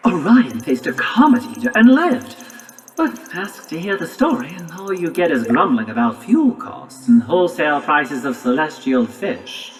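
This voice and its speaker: Prim, swooping robot game guide. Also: female voice, low voice, very animated, moderate pace, soft background music. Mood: wry narrating. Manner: prim, wordy, matter-of-fact explaining. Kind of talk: video game cutscene dialogue and narration.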